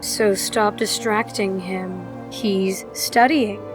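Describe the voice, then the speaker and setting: A woman's voice: mid-range voice, fairly even intonation, slow, loud music behind the voice, natural voice. A woman playing a casual giantess goddess in an audio drama, offhand and lightly scolding like a minder. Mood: mildly scolding. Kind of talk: audio drama character acting.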